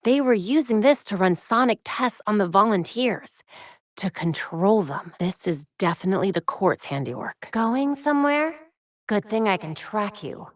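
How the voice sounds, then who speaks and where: A woman playing a clever, determined young female vigilante in a video game, with a crisp, wry, earnest delivery. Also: medium pitch, lively intonation, normal speed, no echo, studio quality, natural voice. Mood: taunting. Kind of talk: scripted video game dialogue.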